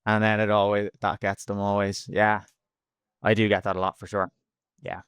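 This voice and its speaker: Low, flat-toned Irish man. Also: moderate pace, clear, resonant tone, no echo, clean studio sound. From Ireland. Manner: plain-spoken, slangy, blunt. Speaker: podcast guest, freelance cold caller. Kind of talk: casual interview answers.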